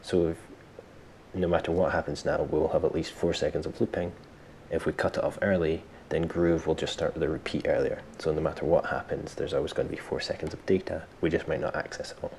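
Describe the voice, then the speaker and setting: A man presenting a software tutorial step by step, informally thinking aloud as he explains. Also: a bass voice, level tone, brisk, vocal fry, slight background noise. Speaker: tutorial host.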